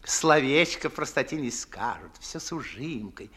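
An actor playing a pompous, garrulous Moscow gentleman in middle age, declaiming fussily in the theatrical manner of 1950s stage comedy. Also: medium pitch, big pitch swings, moderate pace. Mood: amused, mocking. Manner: theatrical, declamatory, fussy, swooping emphasis. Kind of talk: 1950s Russian classical stage comedy acting.

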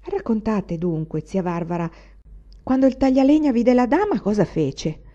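A woman voicing an eager, excitable young male student in an audiobook. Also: mid-range voice, moderate pace, pitched above the performer's own voice, close to an everyday voice. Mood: boastful. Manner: exuberant, boastful. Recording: dull lo-fi recording.

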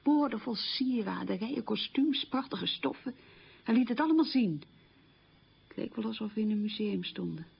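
Radio drama role: haunted woman recalling wartime hunger, very breathy with gravelly creak, reflective, halting delivery. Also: fairly high pitch, lively intonation, highs cut off, heavily acted. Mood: vivid recollection. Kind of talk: radio documentary-drama monologue, reminiscing.

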